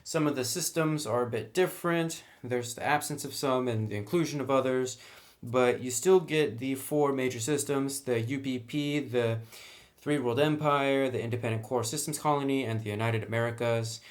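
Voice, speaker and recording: A man giving a casual, conversational review narration as a reviewer of tabletop game books. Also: mid-range voice.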